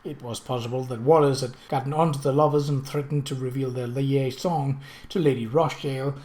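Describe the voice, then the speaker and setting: An audiobook reader giving an expressive, formal narration of a period mystery novel. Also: mid-range voice, brisk.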